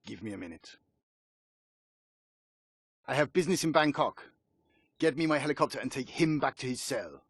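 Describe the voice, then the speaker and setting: Game villain: husky crime boss, coolly threatening, mid-pitched, dry close sound. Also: male voice, animated, normal speed, pauses often, no echo. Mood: cold menace. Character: menacing male crime boss holding a prisoner. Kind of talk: video game cutscene acting.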